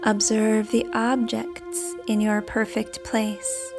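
A woman reading a script as a meditation guide, with a soft, deliberate, instructional delivery. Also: on the high side, level tone. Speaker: meditation guide and podcast host. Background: background music.

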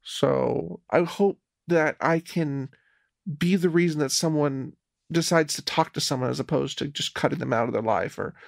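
A man's voice: a little high, moderate pace, creaky, no room sound, studio quality. A man speaking hesitantly and thoughtfully in a recorded personal conversation.

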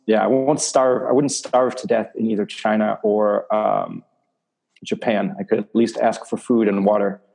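A coach from Germany talks through his past in an interview. His voice sits a little low and sounds warm and dark. He speaks in a measured way, filling pauses with "uh" and "um". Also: little pitch movement, creaky. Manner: measured, with many "uh" and "um" fillers. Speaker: athletics coach. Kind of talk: interview answers.

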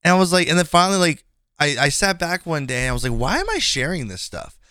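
A man's voice: a little high, sing-song, fast, bright, forceful tone, smooth, no echo, studio quality. A man in his twenties hosting a casual podcast conversation, speaking frankly and rambling with many fillers and restarts. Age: 20s. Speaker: podcast host.

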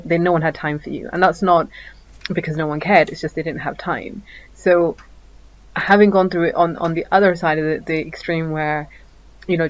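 A woman's voice: deep voice, poor audio. A woman co-hosting a podcast, chatting while congested with a bad cold, earnest and prone to stumbling restarts. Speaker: podcast co-host. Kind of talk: casual chat.

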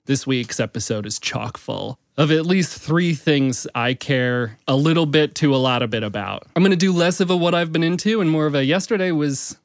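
A young man hosting a casual podcast with friends, quick and joking, rambling from one thing to the next in a small room. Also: a little high, no room sound. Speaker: podcast host.

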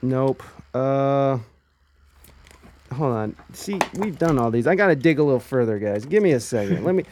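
A man from Ohio hosting a casual streamed chat show, chatty and quick to laugh. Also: medium pitch, lively intonation, background noise. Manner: chatty, laughs often, restarts words.

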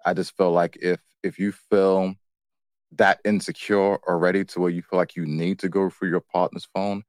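A man's voice: low-pitched, flat delivery, moderate pace, a little mellow, no echo. An American man co-hosting a couple's show in casual unscripted talk, firm but easygoing, often repeating how his sentences start. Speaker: co-host of a couple's relationship show.

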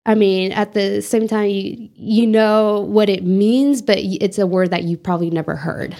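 A woman's voice: medium pitch, fairly even intonation, normal speed, creaky, warm tone. A young woman from the United States answering interview questions in an earnest, reflective way, with many fillers. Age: young adult. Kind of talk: oral history interview.

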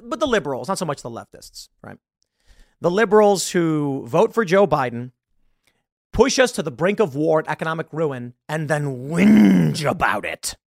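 Animated, mocking man in his thirties. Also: a little high, creaky, no echo, studio quality. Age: 30s. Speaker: political commentary host. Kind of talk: reacting to a video, commentary.

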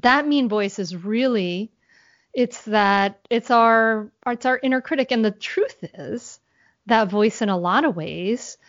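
A woman's voice: fairly high pitch, no room sound. Woman, podcast guest, hesitant restarts, dry close sound, a bit dull. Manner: halting, many restarts and fillers. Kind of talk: podcast interview, conversational.

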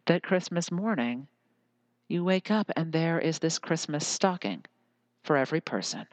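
An earnest American podcaster muses alone into the mic and slips into lyrical, repeating phrases. The voice sits at a middle pitch, and the recording is very dry, with no room sound. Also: dry recording. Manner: earnest, musing, drifts into lyrical repetition. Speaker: podcast host. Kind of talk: reflective solo podcast monologue.

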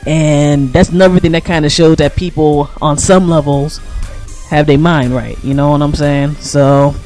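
A man's voice: a little high, little pitch movement, normal speed, a little mellow, faint music behind. An American man co-hosting a radio show, giving casual political commentary in an emphatic, colloquial, slangy way.

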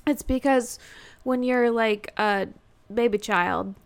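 A woman's voice: fairly high pitch, slow pace, vocal fry. A young woman co-hosting a podcast, chatting casually, who laughs often and trails off mid-thought. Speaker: podcast co-host. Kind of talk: casual chat.

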